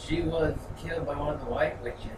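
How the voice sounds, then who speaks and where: A man doing a hushed, grieving young warrior. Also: fairly high pitch, steady tone, brisk, lightly acted. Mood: shaken. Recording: roomy, distant mic with background noise.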